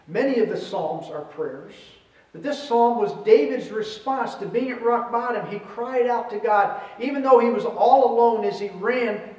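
High-pitched male pastor in an echoey room. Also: a little mellow. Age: middle-aged. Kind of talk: sermon with scripture reading. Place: indoors at a church pulpit. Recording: roomy echo, distant microphone.

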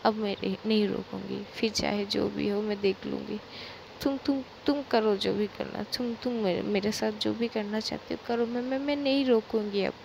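A woman's voice: mid-range voice, fairly even intonation, moderate pace, vocal fry, a little mellow, a little hiss or hum, natural voice, poor audio. A woman voicing a panicked young woman who stammers, repeats herself and begs. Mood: frantic. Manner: stammering, begging, repeating words.

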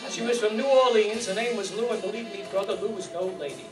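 Noir private eye, played by an older man: high voice, slangy, wry, hard-boiled radio style, picked up from far off. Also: fast talker, close to an everyday voice. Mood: wry narrating. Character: wisecracking hard-boiled male private eye, world-weary. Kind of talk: 1950s noir radio acting. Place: staged reading in a community hall. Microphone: stand microphone, heard from a far camera. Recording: distant room mic, music.

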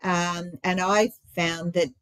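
A woman's voice: on the low side, steady tone, takes it slowly, smooth, no room sound. A woman in her sixties hosting a podcast over a video call from home, her voice a bit scratchy and tired, chatting casually with many fillers. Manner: warm encouraging wrap-up, many fillers. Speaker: podcast host. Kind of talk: casual interview chat. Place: home room indoors. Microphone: video call webcam.

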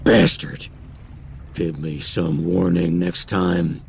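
A man doing a gruff, cursing old fisherman. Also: deep voice, level tone, slow pace, darkish tone, slight background noise, pitched below the performer's own voice, heavily acted. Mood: angry. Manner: blunt, curt, cursing. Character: gruff weathered older male shark fisherman. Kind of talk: audiobook character voice.

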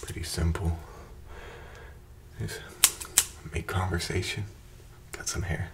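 Deep gravelly young man, soft ASMR talk. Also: slow, very noisy. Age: 20s. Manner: relaxed, repetitive, soothing chatter. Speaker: ASMR creator playing a barber. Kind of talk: ASMR roleplay, soft-spoken and whispered. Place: bright indoor room with plants. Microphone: close desk microphone in front of him.

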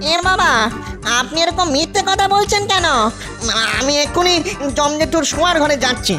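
Woman voicing an excitable high-pitched little boy ghost. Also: normal speed. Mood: pleading, aggrieved. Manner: sing-song, rhyming, wheedling. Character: excitable childlike young male ghost, pleading and gleeful. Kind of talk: children's cartoon voice acting. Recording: loud music over the voice.

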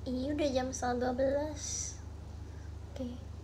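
Woman, 20s, high voice, playful livestream chatter into a phone, faint background hiss. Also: flat delivery, a little slow. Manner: playful, musing, drifts into soft murmurs. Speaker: idol livestreamer. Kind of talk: casual late-night livestream chat. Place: small bedroom at night. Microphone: phone at arm's length.

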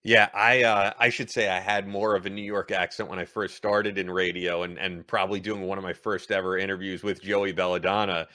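A man in his thirties hosting a podcast interview, with a bright, forceful voice and a fairly low pitch. The sound is dry and close. He speaks in a promotional way, plugging links and release dates. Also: little pitch movement, a little fast, hardly any pauses, no room sound. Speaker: podcast host.

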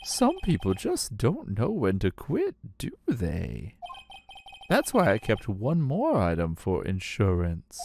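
A man puts on an older police chief for a courtroom game, smug and jovial with menace underneath. The delivery is theatrical and mocking, swinging widely in pitch, with a creaky edge. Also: darkish tone, heavily acted. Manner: mocking, drawn-out, theatrical chuckles. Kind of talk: voiced visual novel playthrough.

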